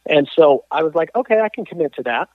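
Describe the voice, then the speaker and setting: A man, a coach and athlete, telling his story as an interview guest, halting with frequent restarts and fillers. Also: fairly high pitch, expressive, no echo. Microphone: phone or call line. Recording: tinny phone line.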